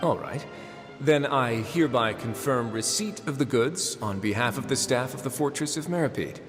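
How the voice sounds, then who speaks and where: An actor playing a laid-back, wry male prison warden in a video game, with a relaxed, bantering way of talking. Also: medium pitch, moderate pace, in a large hall, music in the background, lightly acted.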